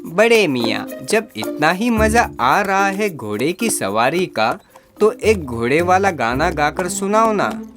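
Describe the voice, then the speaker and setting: A performer voicing an excitable, silly younger male sidekick in a comic animated story, whiny and eager, with singsong delivery. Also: medium pitch, slow, music in the background, voice pushed up. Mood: eager, playful.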